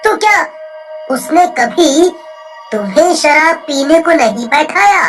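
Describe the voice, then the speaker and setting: A woman voicing a high, earnest village man. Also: lively intonation, slow. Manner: earnest and plain-spoken. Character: brave, kind young village man. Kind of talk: cartoon voice acting. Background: soft music.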